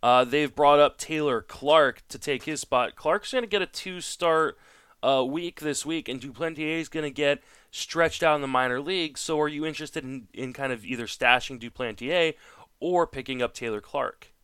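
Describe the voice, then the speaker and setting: A man with a clean, smooth voice hosts a casual sports talk podcast, a native French speaker. His sound is dry and close, and he talks conversationally, working through his opinions as he goes. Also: a little high, normal speed, fairly bright tone, no echo. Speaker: podcast host. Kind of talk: casual sports talk, fantasy baseball discussion.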